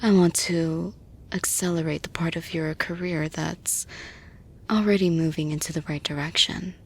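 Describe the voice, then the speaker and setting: A woman playing a caring, persuasive boss in an intimate audio roleplay, soft-spoken and breathy, often dropping to a whisper, deliberate and reassuring. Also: deep voice, steady tone. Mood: encouraging, reassuring. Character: caring, persuasive female boss offering support to employee.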